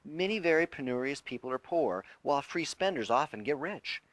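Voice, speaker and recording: A man narrating a self-help audiobook in a deliberate, emphatic, instructive way. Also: on the high side, lively intonation, dry recording. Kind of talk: scripted audiobook reading of a self-help text.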